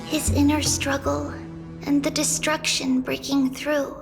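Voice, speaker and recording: An actress voicing a quippy, quick-witted young woman ally in a game, wry and plainspoken. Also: sits high, level tone, vocal fry, over music.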